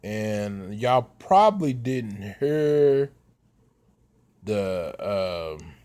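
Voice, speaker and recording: A man, giving casual commentary in a slow, halting way with many fillers. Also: lowish voice, sing-song, dark tone, clear, resonant tone. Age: 30s.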